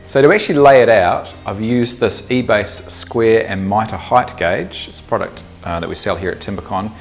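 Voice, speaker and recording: A man in his thirties with a New Zealand accent, explaining a woodworking project step by step in a workshop, relaxed and thinking aloud with the odd um. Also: fairly low pitch, moderate pace, gravelly, soft background music. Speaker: woodworking instructor. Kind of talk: workshop tutorial. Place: small indoor workshop.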